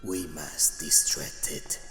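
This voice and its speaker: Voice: rumbling low, fairly even intonation, takes it slowly, a bit bright, exaggerated voice. A performer voicing a chorus of menacing spirit whispers, hissing urgent commands in a long echoey reverb over music. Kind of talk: radio play acting.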